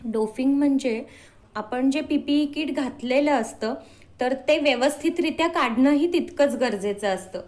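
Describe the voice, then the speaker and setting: A woman's voice: high-pitched, measured pace, darkish tone. A woman, a doctor answering interview questions in an explanatory way, mixing in English medical terms. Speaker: doctor, podcast guest.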